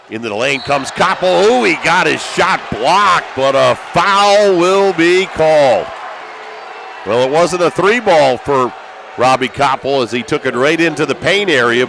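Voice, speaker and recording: An American man doing live basketball play-by-play as a broadcaster, excitable and raising his voice with the action. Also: medium pitch, gravelly. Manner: excitable, calls out action with raised voice. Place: courtside in high school gymnasium. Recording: live gym broadcast with background noise.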